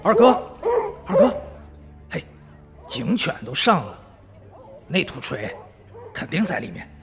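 A man playing an anxious, suspicious sidekick who talks in a hesitant, nagging, excitable way in a radio drama. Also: on the high side, slow pace, vocal fry, music in the background, full character voice. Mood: alarmed.

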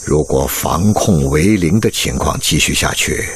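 An actor playing an older, earnest, dedicated medical professor with a husky voice in a radio drama. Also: male voice, rumbling low, lively intonation, unhurried, a little hiss or hum. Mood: grave, earnest. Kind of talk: Chinese radio drama acting.

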